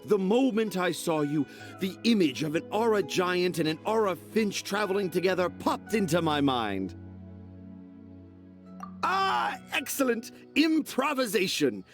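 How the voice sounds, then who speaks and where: Flamboyant high-voiced storyteller man. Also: sing-song, unhurried, exaggerated voice. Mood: inspired. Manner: theatrical, pompous, florid. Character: flamboyant, dreamy storyteller father, grandiose and poetic. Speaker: children's author. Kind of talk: video game voice acting. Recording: music behind voice.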